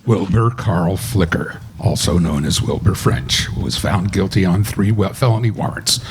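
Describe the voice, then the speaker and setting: A man's voice: sits low, unhurried, a little hiss or hum. A man making formal scripted announcements in the style of a 1950s radio crime show. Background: loud live orchestra music.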